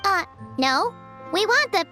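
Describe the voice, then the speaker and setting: A woman voicing a tiny, cheeky, excitable fairy companion in a video game, squeaky and childlike, with music behind. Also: takes it slowly, heavily acted. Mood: protesting. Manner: bubbly, exclamatory.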